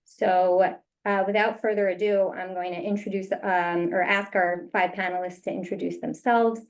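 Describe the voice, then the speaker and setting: A woman's voice: medium pitch, steady tone, moderate pace. A woman welcoming viewers and introducing a virtual student panel as moderator, speaking over a video call.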